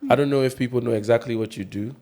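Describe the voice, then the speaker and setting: A man's voice: medium pitch, steady tone, rapid-fire, darkish tone. A man, co-hosting a podcast with teasing, laughing asides. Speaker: podcast co-host. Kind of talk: casual chat.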